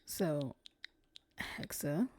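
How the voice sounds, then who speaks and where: A woman streamer chatting casually while she plays, soft-spoken and sometimes nearly whispering. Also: deep voice, lively intonation, very slow, no room sound. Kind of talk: casual gameplay commentary. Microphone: desk streaming mic.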